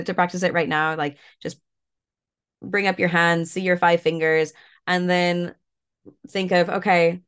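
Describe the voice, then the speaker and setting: A woman guest, a yoga and mindfulness coach, talking in a relaxed way with lots of fillers while stuffed up and a bit croaky. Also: fairly low pitch. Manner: relaxed, many fillers like "like" and "you know". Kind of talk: casual interview talk, guiding an exercise.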